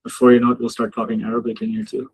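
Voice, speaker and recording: Gravelly, husky man chatting on a live stream. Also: medium pitch, racing, darkish tone. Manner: chatty, laughs often, jokes with the chat. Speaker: trading mentor hosting a live session. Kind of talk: casual live-stream commentary. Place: home office in Dubai.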